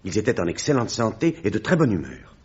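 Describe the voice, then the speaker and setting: A man playing a grave village pastor in a radio mystery, telling a tragic tale in a measured, dramatic way. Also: on the low side, big pitch swings, darkish tone, husky, dull audio, heavily acted. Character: grave village pastor recounting a horrific family tragedy. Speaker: actor in a radio mystery play. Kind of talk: French radio drama acting.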